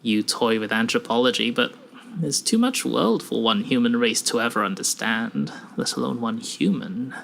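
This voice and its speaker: A man voicing a sinister executive in a suit, speaking deliberately with cool, polite menace. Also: mid-range voice, animated, gravelly, fairly bright tone, slight background noise. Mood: quietly menacing. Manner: slow, deliberate, coolly menacing.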